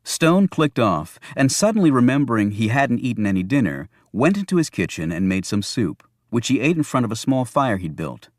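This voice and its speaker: A man's voice: medium pitch, very animated, moderate pace, few pauses, dry recording, studio quality. A man narrating a thriller novel as a scripted audiobook.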